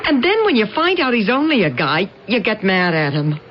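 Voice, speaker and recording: An actress playing a bitter, regretful wife in a 1980s radio drama. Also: female voice, medium pitch, big pitch swings, normal speed, close to an everyday voice. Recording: dull noisy 1980s radio broadcast.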